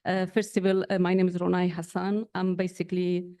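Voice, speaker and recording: A woman's voice: on the low side, level tone, fairly quick, mellow. Polite woman asking a question, many fillers. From Syria. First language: Kurdish. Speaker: audience member asking a question. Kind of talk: audience question at an event. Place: event hall.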